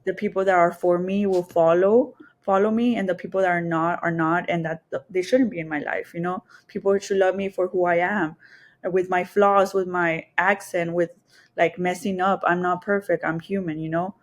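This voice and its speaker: A woman's voice: on the low side, steady tone, normal speed. A woman from the Texas and Mexico border with a Mexican Spanish accent, telling personal stories casually and candidly as a podcast guest, thinking aloud as she goes. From Texas and Mexico border region.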